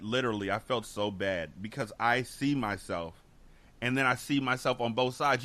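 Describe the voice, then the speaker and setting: A man's voice: medium pitch, bright, forceful tone. A man hosting a casual podcast discussion, talking in a reflective, earnest way with frequent restarts. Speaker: podcast host.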